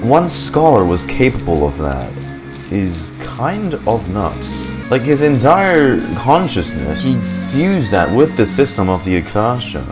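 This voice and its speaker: Music plays clearly behind a man with a fairly low voice. He hosts a gaming reaction, talking informally and rambling through his thoughts as they come. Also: big pitch swings, unhurried. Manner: rambling, informal, thinking aloud. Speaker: gaming reaction video host. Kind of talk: casual reaction commentary. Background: game music.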